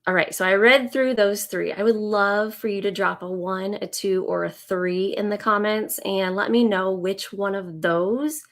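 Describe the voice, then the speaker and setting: Woman in her 30s, raspy from a cold, slow and chatty with lots of ums, live stream. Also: medium pitch, steady tone, a bit bright. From Indiana, US. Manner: slow, friendly, many ums. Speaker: business coach hosting a live Q&A. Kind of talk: live-stream talk to viewers.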